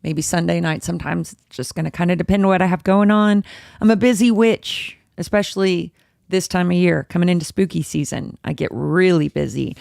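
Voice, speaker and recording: A woman hosting her own casual podcast, a little hoarse, chatty and rambling as she interrupts herself. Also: normal speed, darkish tone, no room sound, clean studio sound. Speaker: podcast host. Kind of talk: casual solo podcast chat, live-streamed. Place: home room with dogs. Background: dogs and a squeaky toy at times.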